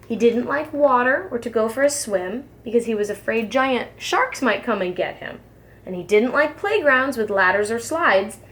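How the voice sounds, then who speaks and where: Animated, higher-pitched woman reading a storybook. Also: a little hiss or hum. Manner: expressive, sing-song rhyming delivery. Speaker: preschool teacher. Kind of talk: reading a children's storybook aloud.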